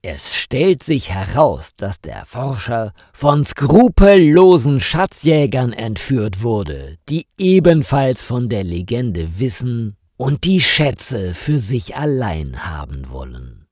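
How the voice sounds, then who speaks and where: A man narrating a scripted story for language learners, reading slowly and expressively with dramatic rises. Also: mid-range voice, sing-song, darkish tone, no room sound, studio quality.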